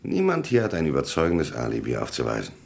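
An actor in a vintage crime radio play playing a stern police commissioner who questions curtly and insistently. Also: male voice, extremely low pitch, wildly swooping pitch, fast, vocal fry, a little mellow, husky, full character voice. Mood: probing, methodical. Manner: curt, insistent interrogator. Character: stern, probing French police commissioner, a man.

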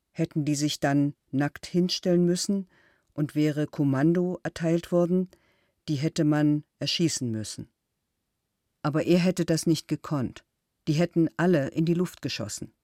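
An actor reading a witness statement that retells a friend's war story, calm and measured. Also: male voice, fairly high pitch, dry recording, studio quality, lightly acted. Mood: grave, retelling.

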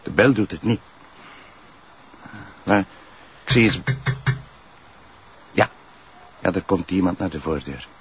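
An actor playing a glum, dry Flemish police sergeant, a sidekick in a TV crime drama. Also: male voice, low voice, lively intonation, slow pace, long pauses. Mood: matter-of-fact. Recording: dull TV soundtrack, noisy ambience.

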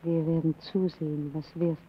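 A woman's voice: low-pitched, flat delivery, normal speed, husky. An actress playing an earnest young lady who urges and probes solemnly, in old radio drama style. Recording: dull, band-limited old radio recording.

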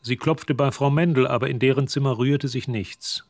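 A man as the narrator of a radio drama, reading literary prose in a measured, matter-of-fact way. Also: medium pitch, steady tone, a little mellow, no room sound, studio quality, close to an everyday voice. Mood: sober, measured. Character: sober male storyteller narrating a mystery.

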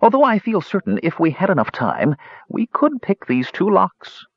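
A man's voice: high voice, wildly swooping pitch, normal speed, gravelly, breathy edge, dry recording, lo-fi sound, voice pushed up, exaggerated voice. A man doing a jaunty, glib little kender leader. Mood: musing. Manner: airy, philosophical, lightly mocking.

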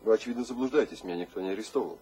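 An actor playing a composed, principled poet in a radio drama, speaking with dry politeness. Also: male voice, lowish voice, racing, mellow, slightly rough, low-quality recording. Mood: dryly polite. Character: composed, principled poet serving revolutionary inquiry.

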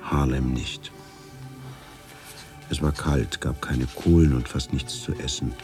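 An actor playing a grown man who soberly and deliberately recalls his wartime childhood in a radio drama. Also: extremely low pitch, fairly even intonation, a little mellow, soft background music. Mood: somber, reflective. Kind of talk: scripted radio drama narration.

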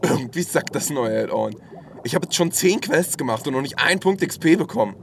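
A man's voice: a little high, expressive, fairly quick, a little hiss or hum. A man playing a naive, eager young warrior, a gullible newbie in a comedic audio drama. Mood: puzzled, trusting. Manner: eager, trusting.

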